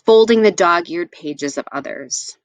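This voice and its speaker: A woman tells a children's story in an expressive read-aloud style. Her pitch moves freely and animatedly as she shapes each phrase with care. The sound is dry, with no room echo. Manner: expressive, with deliberate phrasing and asides to listeners.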